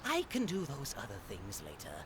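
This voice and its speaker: A man voicing a boisterous, theatrical, eager skeleton brother in a cartoon comic dub, with a raspy voice and grandiose delivery. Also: medium pitch, wildly swooping pitch, normal speed, a bit bright, a little hiss or hum. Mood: hesitant. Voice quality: raspy, gravelly. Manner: grandiose, emphatic declarations.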